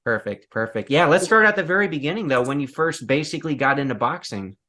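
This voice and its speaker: A man in his thirties hosts an interview from his home over a webcam. He sounds enthusiastic and eager, with a slightly high voice. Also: animated, fast, bright, forceful tone. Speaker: interview host. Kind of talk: casual interview. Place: home room with shelves of films.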